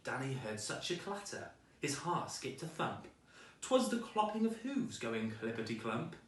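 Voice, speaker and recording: Animated male storyteller in an echoey room. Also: medium pitch, unhurried. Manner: dramatic, rhythmic delivery of rhyming verse. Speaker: teacher reading aloud. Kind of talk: expressive children's storybook reading. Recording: echoey room, distant microphone.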